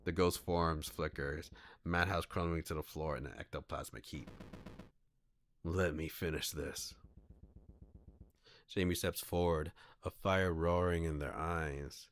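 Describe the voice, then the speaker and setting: A man's voice: low-pitched, steady tone, unhurried, pauses often. A man hosting a livestream, switching between reading a visual novel aloud and chatting to viewers. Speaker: streamer, host. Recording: faint music under the voice. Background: music.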